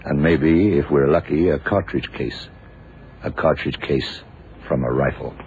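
Casting: laconic, deliberate radio-drama detective, bottom-of-the-register voice, dulled vintage sound. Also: male voice, rumbling low, darkish tone. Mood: pondering. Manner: deliberate, probing, questioning. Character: shrewd, laconic male detective puzzling out a murder. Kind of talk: 1960s-70s radio drama acting. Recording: muffled, noisy old radio recording.

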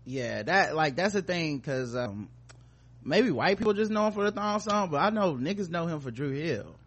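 A man's voice: on the high side, animated, normal speed, a bit bright, husky. A man hosting a podcast with casual comedic commentary, joking in slangy, profane language. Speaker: podcast host.

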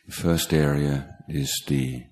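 A man teaching guided meditation, speaking in a halting, measured way with pauses between short phrases. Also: extremely low pitch, fairly even intonation, a little mellow. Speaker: meditation teacher, Buddhist monk. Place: meditation retreat talk in Thailand.

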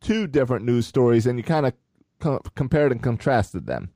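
A man co-hosting a podcast, casually steering the sports talk with calm agreement. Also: mid-range voice, moderate pace, no echo, studio quality. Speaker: podcast co-host.

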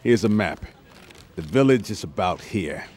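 A man's voice: lowish voice, expressive, normal speed, darkish tone. An actor playing a brusque male police captain giving curt orders in a radio drama.

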